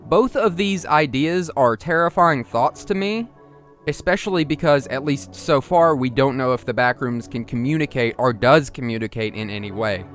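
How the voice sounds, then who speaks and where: Male narrator, higher-middle everyday pitch, musing video essay delivery, explanatory, slightly conversational, music underneath. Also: moderate pace.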